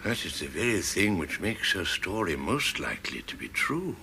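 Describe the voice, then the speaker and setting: Eccentric old professor, low voice, hugely animated, theatrical, musing, radio drama. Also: male voice, normal speed, gravelly, background noise, exaggerated voice. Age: older. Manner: pondering, emphatic, theatrical.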